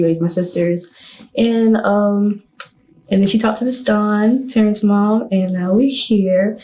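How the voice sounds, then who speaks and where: A young woman of twenty hosts a casual discussion among women. Her voice is warm and mellow. She speaks haltingly, restarting her sentences and leaning on fillers as she finds her questions. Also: on the low side, poor audio. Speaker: discussion host.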